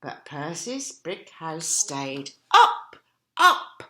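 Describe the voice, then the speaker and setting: Woman doing storytime for little kids, very lively pitch, bright voice, chatty asides. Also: drawn-out words. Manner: expressive, asking children rhetorical questions. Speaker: storyteller reading to young children. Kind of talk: animated storybook narration with asides to listeners.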